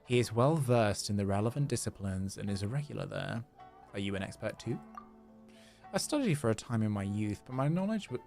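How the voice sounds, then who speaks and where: A man with a fairly low, creaky voice talks as a game streamer. His delivery is casual and playful, and soft game music plays behind him. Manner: playful, chatty, repeats phrases. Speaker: livestreamer. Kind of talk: casual gaming livestream chat, reading game dialogue aloud. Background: video game music.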